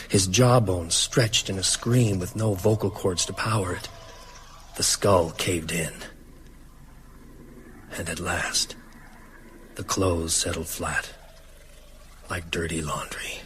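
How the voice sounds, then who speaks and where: An actor playing a haunted witness in a radio drama, narrating horror in a grave, deliberate way. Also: male voice, deep voice, fairly even intonation, a bit bright, a little hiss or hum. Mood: grim awe. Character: grim, haunted male witness narrating a vampire's death.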